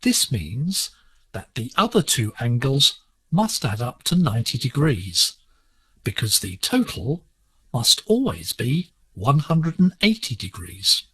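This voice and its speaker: Male radio teacher, slow pace, lively intonation, slightly husky sound, scripted and didactic, dry acoustic. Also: mid-range voice, dry recording. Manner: measured, didactic. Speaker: educational radio presenter. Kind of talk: scripted lesson narration. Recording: digital shortwave radio broadcast, slightly rough.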